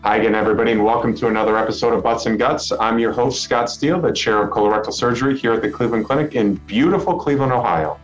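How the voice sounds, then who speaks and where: A middle-aged man hosting a medical podcast and interviewing a guest in a measured way. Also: mid-range voice, soft background music. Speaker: podcast host. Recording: dull, lo-fi sound.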